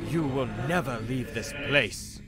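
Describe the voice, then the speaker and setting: Man voicing a slow, sinister showman elephant. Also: mid-range voice, music in the background, close to an everyday voice. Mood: showy menace. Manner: slow stage-magician patter.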